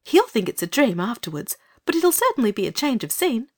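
A man voicing a kind, earnest older girl in a storybook reading, polite and gently persuasive. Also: very high-pitched, very animated, a little fast, voice pushed up, exaggerated voice.

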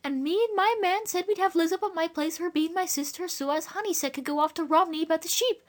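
A scatterbrained working woman from rural England. Her voice leaps up very high, breathless and exclaiming, full of grammar and easily tipped into panic. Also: fast talker. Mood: fretful.

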